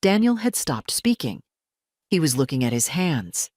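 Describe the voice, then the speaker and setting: A woman with a low voice narrates a scripted story. The sound is dry, with hardly any room on it. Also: big pitch swings, normal speed, darkish tone, dry recording.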